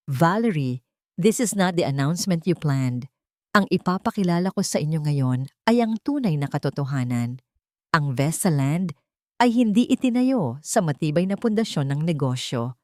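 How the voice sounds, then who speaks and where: A Filipino-accented woman voicing a vengeful wronged wife in an audio drama, firm, deliberate and authoritative. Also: sits low, big pitch swings, dark tone, no echo, studio quality. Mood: cold, commanding. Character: commanding, vengeful wronged wife turned corporate owner.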